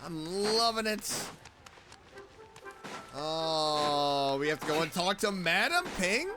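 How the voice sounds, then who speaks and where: A woman's voice: low voice, sing-song, slow. A woman giving casual reaction commentary as a VTuber playing a video game. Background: game music.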